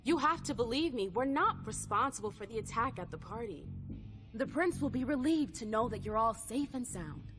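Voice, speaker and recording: An actress playing a self-assured, defiant vampire envoy in a video game, firm and challenging. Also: female voice, fairly high pitch, animated, normal speed, over music, lightly acted. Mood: defiant, insistent. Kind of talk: dramatic video game acting.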